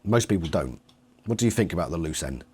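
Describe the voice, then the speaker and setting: A man's voice: low-pitched, animated, fast talker, darkish tone, breathy edge. A man chatting casually about books as an interviewer.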